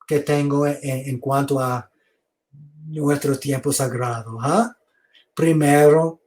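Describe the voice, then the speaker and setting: A man giving an online theology lecture over a video call, speaking slowly, repeating words and inviting questions. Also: a little high, darkish tone, low-quality recording. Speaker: seminary lecturer.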